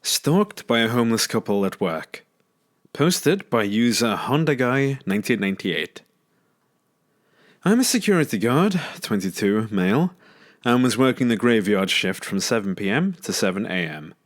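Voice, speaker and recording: A man's voice: on the low side, sing-song, moderate pace. A man reading a first-person scary story as scripted narration.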